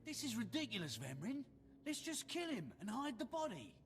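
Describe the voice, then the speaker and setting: A man's voice: very high-pitched, wildly swooping pitch, normal speed, vocal fry, background noise, exaggerated voice. An actor playing a hot-tempered male henchman and bully in a video game, sneering and aggressive. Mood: impatient. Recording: loud music behind the voice.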